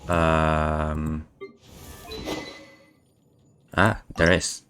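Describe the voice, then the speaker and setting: Brief: low-voiced male streamer, slow and hesitant, muttering over his game. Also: flat delivery, over music. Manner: mumbling, hesitant, many fillers. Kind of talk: casual gameplay commentary.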